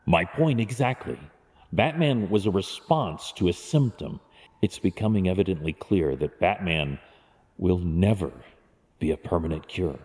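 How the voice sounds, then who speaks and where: An actor playing a brooding, driven masked vigilante in an audio drama, grave and measured. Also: male voice, low voice, animated, darkish tone. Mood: grim resolve. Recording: long cavernous hall reverb.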